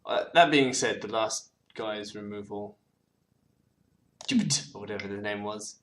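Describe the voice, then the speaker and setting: A man's voice: on the low side, a little slow, a bit bright. A man, co-hosting and chatting through an online card game, restarting words and murmuring card names. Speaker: co-host playing a card game. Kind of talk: casual gameplay commentary. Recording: faint background noise.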